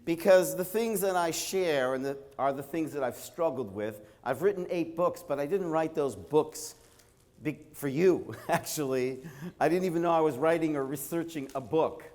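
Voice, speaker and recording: A man's voice: on the high side, moderate pace, darkish tone. A middle-aged man from Canada with a Brooklyn accent, a rabbi giving an inspirational talk in a conversational, anecdotal way, often checking in with his listeners. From Toronto, Canada. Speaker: rabbi, speaker. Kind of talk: inspirational religious talk to an audience. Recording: reverberant hall sound.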